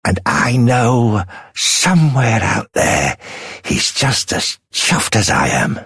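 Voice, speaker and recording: An actor playing a fond, proud old grandfather in a fantasy video game, with a British accent and a gravelly, husky voice. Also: medium pitch, sing-song, slow, a bit bright, no room sound, clean studio sound, exaggerated voice. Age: older. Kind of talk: scripted video game voice acting.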